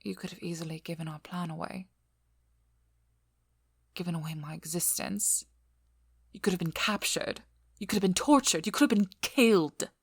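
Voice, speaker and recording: A woman playing a stern, wry, protective vampire hunter in an intimate ASMR role-play, speaking in a measured, firm voice that often drops to a whisper. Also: deep voice, level tone, creaky, slightly rough, no room sound, heavily acted. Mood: urgent scolding. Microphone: close microphone.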